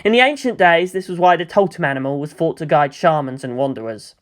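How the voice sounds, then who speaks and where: A story narrator reading dramatic action fiction in a measured, expressive way. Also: mid-range voice.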